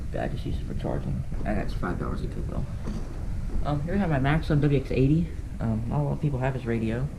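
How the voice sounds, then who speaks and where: A man's voice: mid-range voice, sing-song, fast, creaky. A man casually narrating a show-and-tell of his collection in a rambling, offhand way, recorded indoors at home. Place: indoors, room at home with radios. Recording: noisy amateur room recording with clicks.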